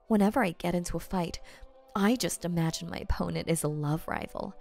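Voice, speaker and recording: A woman acts out a rich older yandere girlfriend in an ASMR roleplay. She speaks very slowly in a fairly low voice, coy and halting, doting on her partner with an obsessive edge. Faint music plays behind her. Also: vocal fry, darkish tone. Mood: boastful, flustered. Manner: coy, halting, stammering, cooing pet names. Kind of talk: ASMR roleplay audio acting.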